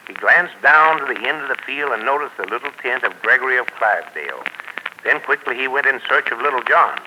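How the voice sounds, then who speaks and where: A man narrating a knightly adventure in measured storytelling, for 1940s radio. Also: medium pitch, lively intonation. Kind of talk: scripted narration. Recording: noisy 1940s radio broadcast.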